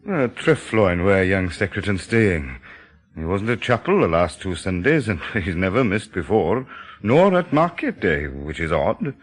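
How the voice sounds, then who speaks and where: Uneasy village local in a radio play, husky voice, pitch goes up and down a lot. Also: male voice, big pitch swings, a little slow, exaggerated voice. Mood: uneasy, chatty. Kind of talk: radio drama dialogue.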